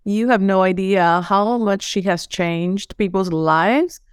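Venezuelan-accented woman, smooth and earnest. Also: mid-range voice, slow pace, no room sound, clean studio sound. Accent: Venezuelan Spanish. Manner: earnest, effusive, repeats words at starts. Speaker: holistic coach and former psychologist. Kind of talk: podcast interview.

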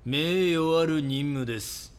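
Slow, solemn cult guru. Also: male voice, on the high side, clean tone. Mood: solemn, preaching. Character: calm, solemn male cult guru, grandiose and menacing. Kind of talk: Japanese audio drama acting.

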